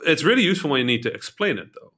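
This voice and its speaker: A man hosts a podcast with a bright, forward voice. He talks playfully in halting bursts, often stopping and starting his sentences over. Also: a little high, very animated. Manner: playful, halting, lots of restarts. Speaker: podcast host. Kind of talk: casual chat.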